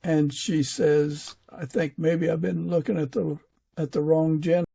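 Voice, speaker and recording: Slow, gravelly man interviewing haltingly. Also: fairly high pitch, little pitch movement, mellow. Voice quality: husky, gravelly. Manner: hesitant, halting. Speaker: podcast host and fiction author. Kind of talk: conversational interviewing.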